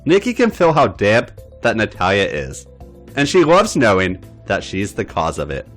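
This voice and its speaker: A man narrating a story aloud as a podcast host, with an expressive reading style. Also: lowish voice, moderate pace, faint music behind.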